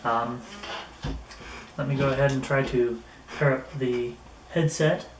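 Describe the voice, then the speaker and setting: A man giving a casual hands-on product review, thinking aloud in a somewhat halting way. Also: mid-range voice, fairly even intonation. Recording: roomy echo, distant mic, faint noise.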